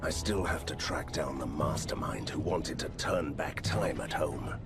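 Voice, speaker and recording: A grim monster hunter from a fantasy video game. His voice is extremely deep and gruff, with a dry, deadpan wit. Loud music runs behind him. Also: measured pace.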